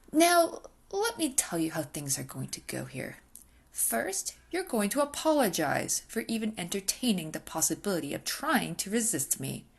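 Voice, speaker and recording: A woman's voice: fairly low pitch, gravelly, slightly rough, exaggerated voice. A woman playing an obsessive, possessive female vampire in an intimate ASMR roleplay, often dropping into whispers, hungry and coolly domineering. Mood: commanding.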